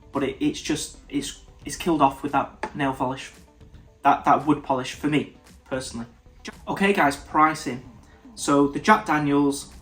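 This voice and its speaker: Британец с заложенным носом. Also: male voice, on the high side, fairly bright tone. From United Kingdom. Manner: thoughtful, stops and restarts mid-sentence. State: nasal, congested. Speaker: whisky reviewer. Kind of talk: casual tasting review to camera.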